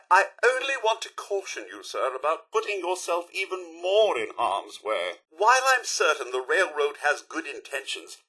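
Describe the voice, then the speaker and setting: A fussy British robot butler from a video game. The voice is high and bright, with theatrical swoops in pitch. The speech is formal, devoted and a touch anxious, like a proper household servant. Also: male voice, husky, full character voice, poor audio. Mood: cautioning. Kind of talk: video game character dialogue.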